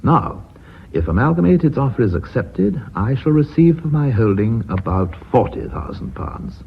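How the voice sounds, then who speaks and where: An actor playing a blunt, authoritative company chairman in a radio drama, with an English accent and formal, measured, courteous speech. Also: mid-range voice, sing-song, gravelly. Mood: firm, reasoning. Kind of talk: BBC radio drama acting. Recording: muffled phone-like old radio recording, hiss.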